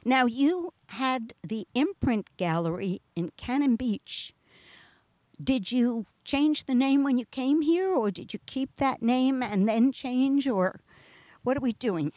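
A woman's voice: sits high, lively intonation, takes it slowly, darkish tone, dry recording. A woman hosting a casual radio interview, chatty and upbeat. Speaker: radio host.

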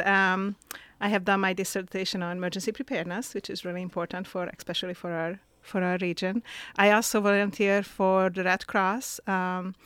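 A woman's voice: medium pitch, fairly bright tone, clean tone, no echo. A middle-aged woman from Hungary with a Hungarian accent, speaking plainly as a guest on a radio talk show. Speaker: guest, nurse and health coordinator.

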